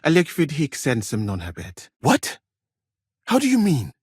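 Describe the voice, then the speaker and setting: Husky, expressive learned medieval physician. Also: male voice, fairly high pitch, no echo, clean studio sound, full character voice. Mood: earnest, reflective. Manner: measured, formal, deliberate phrasing. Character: courteous learned foreign physician in medieval Europe, calm and thoughtful. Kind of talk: video game voice acting.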